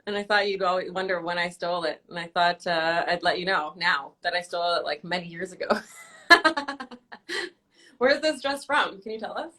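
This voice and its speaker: Bright-voiced young woman hosting, laughing often. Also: lowish voice, moderate pace, faint music behind. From Canada. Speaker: host. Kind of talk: casual live-stream interview.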